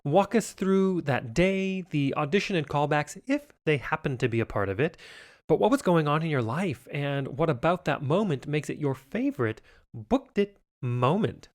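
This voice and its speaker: A man in his thirties with a somewhat high voice hosts a podcast interview. He is upbeat and affirming and asks clear, structured questions. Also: animated, moderate pace. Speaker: podcast host. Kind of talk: interview hosting.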